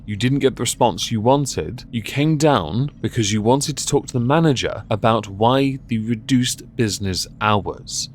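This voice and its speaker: A man's voice: mid-range voice, slight background noise. A man hosting a commentary on viral clips, talking in a sardonic, scolding way. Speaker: commentary show host.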